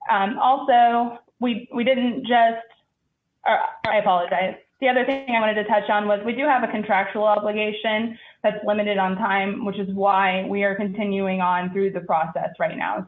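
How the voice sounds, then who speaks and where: Female applicant addressing a public hearing, mid-pitched, over a thin phone connection. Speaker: project applicant giving rebuttal. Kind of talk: prepared public hearing remarks. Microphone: phone or conference call. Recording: tinny phone line, roomy echo.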